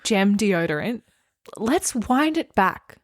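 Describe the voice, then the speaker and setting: A woman's voice: mid-range voice, big pitch swings, takes it slowly, no room sound, studio quality. A young Australian woman co-hosting a casual comic podcast, making emphatic, mock-dramatic declarations. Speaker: podcast co-host.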